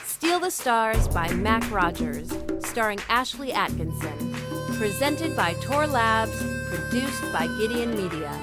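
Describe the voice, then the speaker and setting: Woman for drama credits announcement, unhurried scripted delivery with music clearly behind her. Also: fairly high pitch, big pitch swings. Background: loud music.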